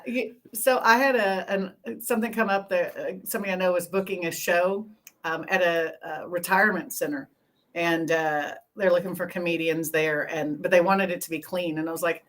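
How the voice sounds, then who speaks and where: Woman, 50s, from Texas, lowish voice, crude joking podcast banter. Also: fairly bright tone.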